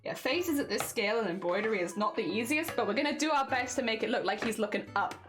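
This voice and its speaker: A woman's voice: a little high, animated, fast talker, fairly bright tone, faint music behind. Wheezy woman chatting with stream viewers. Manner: chatty, greets and answers chat viewers, laughs often. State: wheezy from asthma and a cold. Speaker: livestreamer. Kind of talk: casual livestream chat while embroidering.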